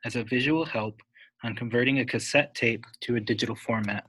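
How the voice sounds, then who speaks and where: A man giving an unscripted, instructional step-by-step software tutorial, talking wordily and correcting himself as he goes. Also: mid-range voice, brisk, husky, low-quality recording. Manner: instructional, wordy, with self-corrections.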